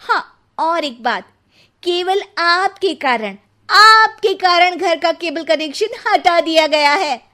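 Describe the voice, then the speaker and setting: A shrill, sharp-tongued young bride. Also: female voice, animated, slow pace, heavily acted. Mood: taunting. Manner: shrill, bickering, taunting. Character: sharp-tongued young daughter-in-law, quarrelsome. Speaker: voice actor. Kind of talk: cartoon voice acting.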